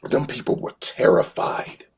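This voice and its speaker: A man speaking casually and emphatically as a fan panelist, rambling through his thoughts in colloquial phrasing. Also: measured pace. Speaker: fan panelist on a live show. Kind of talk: casual passionate fan discussion.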